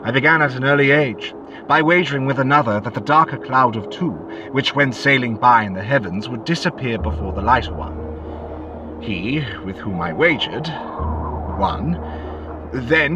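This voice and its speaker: A man's voice: medium pitch, background noise, natural voice. A man playing a sinister ghostly gambler in an audio drama, eloquent and coaxing, with theatrical, archaic formal phrasing.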